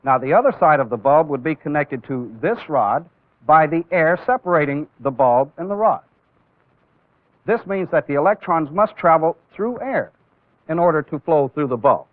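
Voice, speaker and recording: A man with a somewhat high-set voice teaches in the manner of an old training film. He explains deliberately, one step at a time, and puts weight on key terms. The sound is thin and phone-like, with a dry, echo-free closeness. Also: lively intonation. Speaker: instructor in a military training film. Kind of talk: instructional demonstration lecture.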